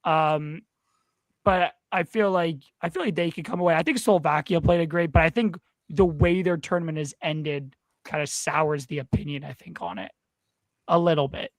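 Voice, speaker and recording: A young man co-hosting a casual hockey podcast, playful and quick to laugh. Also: a little high, steady tone, no echo, rough recording. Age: young adult. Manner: playful, laughing easily, thinking aloud. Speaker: podcast co-host. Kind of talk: casual sports chat.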